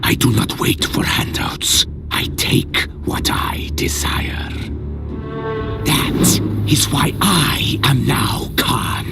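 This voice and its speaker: A fierce warrior growls with heavy gravel and very slow delivery. His pitch swings widely as he menaces, bitter and proud, over loud music in a game cutscene. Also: lively intonation. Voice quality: gravelly, breaking into harsh whisper. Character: fierce, greedy, resentful warrior from an enslaved people. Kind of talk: video game cutscene narration.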